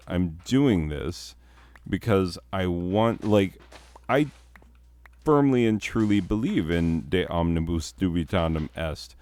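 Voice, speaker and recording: A man, a teacher, chatting casually in a deep voice with a downward, newscaster-like inflection that sounds authoritative. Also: a little mellow. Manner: rambling with restarts and chuckles. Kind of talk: casual chat.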